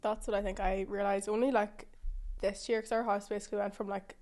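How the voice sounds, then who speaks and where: A woman's voice: medium pitch, little pitch movement, brisk, darkish tone. A woman in her twenties hosting a podcast, chatting in a friendly way and often agreeing with her guest. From Northern Ireland. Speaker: podcast host. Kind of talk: casual chat. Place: studio.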